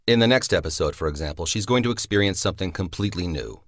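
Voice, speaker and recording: A man with a low voice explains in an interview how he shaped his story. He speaks thoughtfully and pauses with fillers. The recording is dry and close. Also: animated, no echo. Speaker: series writer and creator. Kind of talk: interview answer.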